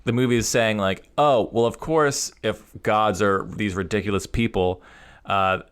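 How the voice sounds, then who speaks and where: A man's voice: on the low side, fairly even intonation, a little slow, clean tone. A man co-hosting a podcast who criticizes a film in a hesitant way, full of "uh" and "like.". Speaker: podcast co-host. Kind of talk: casual film review chat.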